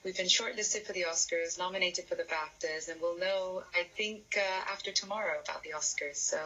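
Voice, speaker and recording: A woman's voice: on the low side, a little fast, sharp tone, dull audio. A woman film director answering interview questions in an earnest, emphatic manner.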